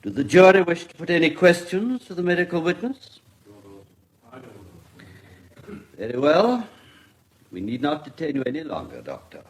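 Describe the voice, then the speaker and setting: An actor playing a formal, courteous British coroner in a radio drama, with measured official diction. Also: male voice, fairly high pitch, sing-song, low-quality recording. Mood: probing, formal. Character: formal, courteous English coroner presiding over an inquest.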